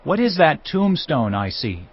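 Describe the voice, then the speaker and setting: A man's voice: fairly high pitch, big pitch swings, unhurried, slightly rough, heavily acted. A commanding king. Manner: commanding, issuing orders. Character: King Josiah, a reforming, commanding king. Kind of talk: dramatized audio Bible. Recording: dull lo-fi with music underneath.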